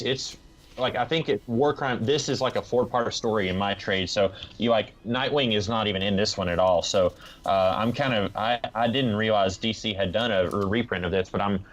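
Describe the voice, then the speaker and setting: A man co-hosting a podcast, chatting casually about comics in a halting, rambling way over a lo-fi connection. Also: lowish voice. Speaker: podcast co-host reviewing comics. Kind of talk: casual chat, comic discussion. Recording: dull, lo-fi voice connection.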